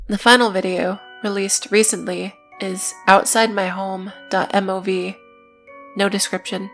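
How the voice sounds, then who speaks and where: A woman's voice: mid-range voice, level tone, a little slow. A woman narrating a scripted video essay in a matter-of-fact way, with casual, dryly irreverent asides. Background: music.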